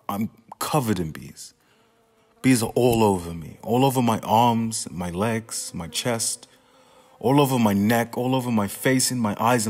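Exasperated playwright, acted by a man, swinging pitch. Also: natural voice. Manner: profane, confessional, emphatic. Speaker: student actor. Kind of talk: theatrical radio play monologue.

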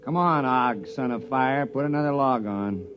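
A man's voice: medium pitch, moderate pace, over loud music, lightly acted. An actor playing a weary, doubtful stranded expedition man, wry and grumbling, in a 1950s radio drama. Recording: dull old-time radio recording.

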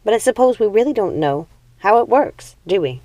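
A woman's voice: mid-range voice, lively intonation, brisk, dark tone, no room sound, highs cut off, voice pushed up, close to an everyday voice. A woman voicing a restless, frustrated young witch daughter in a storybook, earnest and insistent. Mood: exasperated.

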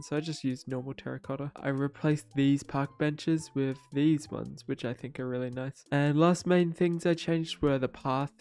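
A man's voice: medium pitch, darkish tone, clean tone. A young man, sounding a little nasal from a cold, casually narrating his building video with lots of fillers and restarts. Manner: rambling, many fillers and restarts. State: stuffy nose from a cold. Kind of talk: casual voice-over commentary.

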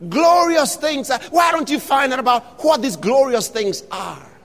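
A man preaching an impassioned sermon, shouting scripture with long drawn-out phrases. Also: extremely high pitch, animated, big-room sound.

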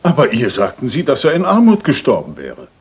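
An actor playing a decent, plain-spoken Victorian gentleman in a radio play, frank and questioning. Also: medium pitch, huge pitch swings, fairly quick, slightly rough, heavily acted. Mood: earnest.

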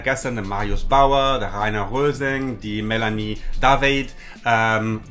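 A man in his forties hosting a livestream from a dark studio, speaking hesitant German full of fillers and restarts. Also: mid-range voice. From United States. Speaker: host.

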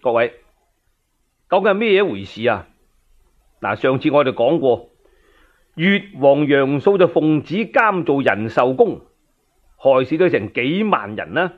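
A man's voice: medium pitch, big pitch swings, unhurried, pauses often. A man telling a historical tale in Cantonese as a radio storyteller, colloquial and speaking straight to his listeners. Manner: colloquial, addressing listeners directly. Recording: narrow-band, phone-like radio recording.

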